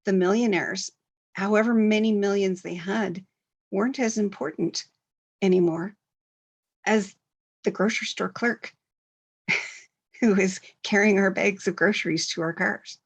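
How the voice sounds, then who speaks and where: A woman, a co-author, speaking earnestly on a video call with slow, emphatic phrasing. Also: mid-range voice. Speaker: co-author at a book launch. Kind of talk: casual online talk.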